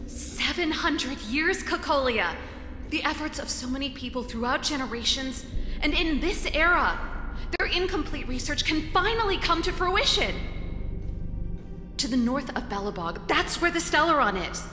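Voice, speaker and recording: A high-pitched, idealistic young woman of science speaks with dramatic, pleading fervor, as in a game cutscene. Her voice echoes in long reverb, with clear music behind. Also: expressive, normal speed, bright, forceful tone. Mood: excited, urgent. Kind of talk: video game story voice acting.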